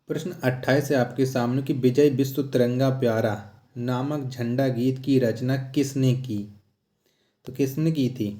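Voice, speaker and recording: A man's voice: medium pitch, mellow. A man teaching a quiz lesson as an exam-prep teacher, in a didactic way that repeats questions and answers. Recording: echoey, roomy sound.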